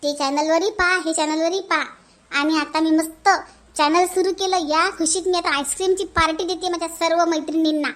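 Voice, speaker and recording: Brief: very high, chirpy cartoon village auntie from Vidarbha, voiced by a man, folksy, tags "हं?" onto lines, never gives up. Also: fairly even intonation, a little fast, fairly bright tone. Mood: pleading. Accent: Varhadi Marathi. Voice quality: folksy, chatty, adds "हं?" tag questions. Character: cheerful middle-aged village woman who never gives up. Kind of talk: cartoon voice acting.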